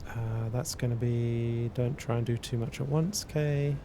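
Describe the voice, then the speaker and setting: A man streaming casually, answering chat and working through math aloud in a halting way that repeats words. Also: fairly even intonation. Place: cold indoor room. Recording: noisy with a low rumble.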